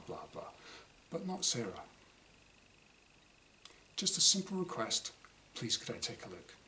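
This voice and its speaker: A man's voice: fairly low pitch, pushed and bright. A man reading his own first-person diary short story aloud in a wry, exasperated, dramatised way. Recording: clear background noise.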